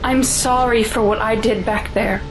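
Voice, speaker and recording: A woman's voice: fairly high pitch, fairly even intonation, a little slow, noisy background, close to an everyday voice. A woman acting in an audio drama as an anguished, guilt-ridden mother, emotional and pleading. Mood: anxious.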